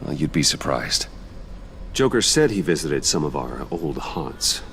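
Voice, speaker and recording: Stern masked detective, very deep, measured, controlled, video game drama, faint background rumble. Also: male voice, very animated, fairly bright tone, exaggerated voice. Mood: analytical. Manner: grave, measured, terse. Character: brooding masked vigilante detective, stern, controlled grown man.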